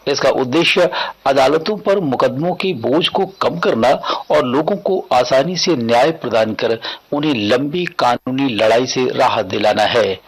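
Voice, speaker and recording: Male radio correspondent, creaky and raspy, formal plain read of a scripted report. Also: mid-range voice, low-quality recording. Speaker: news correspondent.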